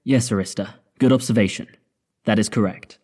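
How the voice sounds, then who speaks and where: A man playing a measured, confident spaceship scientist in an audio drama, explaining in a deliberate way. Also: on the low side, a little mellow, breathy edge. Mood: calm, resolute. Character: measured, confident male spaceship scientist proposing drastic plans.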